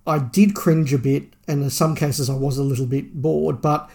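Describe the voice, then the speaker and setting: A man's voice: on the high side, steady tone, normal speed, creaky, a little mellow. A man co-hosting a podcast, giving opinionated, emphatic views in a casual review discussion.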